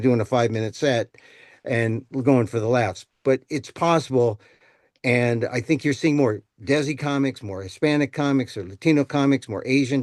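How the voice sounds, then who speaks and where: A man's voice: medium pitch, darkish tone, no room sound, studio quality. Man chatting casually on a panel. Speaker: comedian and storyteller on a panel.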